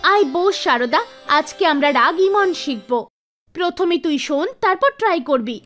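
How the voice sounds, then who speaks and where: A woman acts out a devout young girl from a cartoon. The voice is very high, earnest and emotive, kind in temper, with music behind it. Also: lively intonation, unhurried, fairly bright tone, full character voice. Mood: kind, encouraging.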